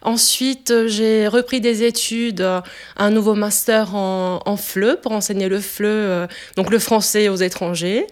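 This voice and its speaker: A woman in her twenties from Italy, speaking French as a second language with an Italian accent, answering interview questions with many pauses and fillers. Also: medium pitch, fairly even intonation. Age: 20s.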